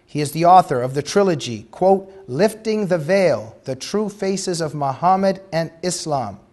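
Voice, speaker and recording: A man hosts a studio talk show, reading a formal, scripted introduction. His manner is measured and polite. His voice sits a little high for a man. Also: lively intonation, a little mellow. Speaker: show host.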